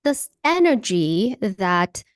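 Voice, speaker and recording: Woman coach from Hawaii, animated, dry video-call sound. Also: medium pitch, slow pace, no echo. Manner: animated, acts out enthusiastic quotes. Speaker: workshop speaker and coach. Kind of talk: answering questions in an online workshop.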